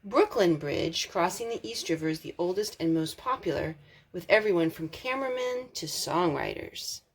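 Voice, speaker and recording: A woman reading a picture book aloud as a storytime host, expressive, drawing out words for emphasis. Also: fairly low pitch, normal speed. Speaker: storytime reader.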